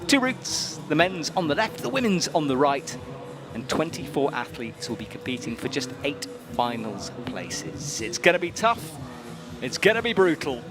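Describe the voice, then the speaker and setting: Male live commentator, medium pitch, emphatic conversational delivery, music underneath. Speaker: sports commentator. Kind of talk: live sports commentary and presenting. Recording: music behind the voice.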